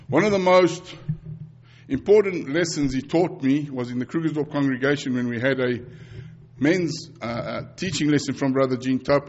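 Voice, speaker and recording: A South African man in his fifties, Afrikaans his first tongue, preaches with earnest repetition and the odd restart. His voice sits at a middling pitch for a man. The recording is dull and slightly lo-fi. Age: 50s. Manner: earnest, repeats phrases, frequent restarts. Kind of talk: sermon and mission report.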